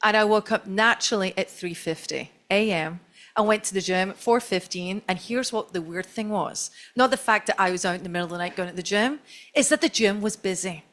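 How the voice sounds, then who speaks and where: A woman's voice: mid-range voice, brisk, fairly bright tone. A middle-aged Scottish woman, a marketing chief, telling a long, chatty, self-deprecating story on a stage panel. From Scotland.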